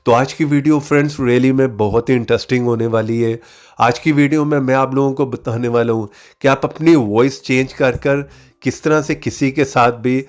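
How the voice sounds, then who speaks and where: A man hosting a how-to tutorial, explaining an app step by step with repeated stock filler phrases. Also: medium pitch. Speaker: tutorial channel host. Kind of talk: scripted-sounding how-to app tutorial narration.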